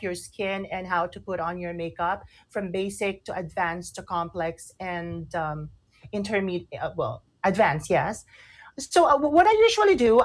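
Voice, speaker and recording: A woman with a Filipino accent co-hosting a radio talk show in casual chat, explaining emphatically and often repeating herself for stress. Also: mid-range voice.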